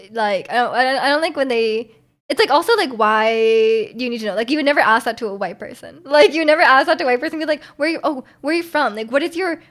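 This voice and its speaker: A woman from Canada streaming, chatting casually with viewers and reading their messages aloud, full of "like" fillers and quick laughs. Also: a little high, a bit bright. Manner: laughs often while talking.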